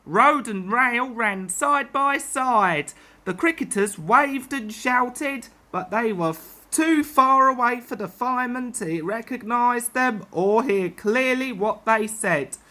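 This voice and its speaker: A woman storyteller reading a children's tale aloud in an expressive narrating style. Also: fairly high pitch, slow.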